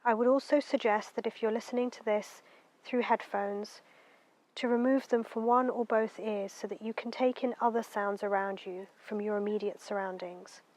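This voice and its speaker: A woman guiding a meditation in a gentle, unhurried, soothing way, letting her phrases end softly. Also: medium pitch. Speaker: meditation guide. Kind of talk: guided meditation narration.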